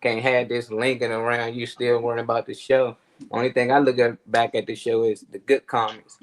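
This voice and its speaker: A man's voice: medium pitch, little pitch movement, brisk. A man chatting casually on a talk show, giving off-the-cuff motivational advice in colloquial, sometimes profane language. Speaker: show panelist.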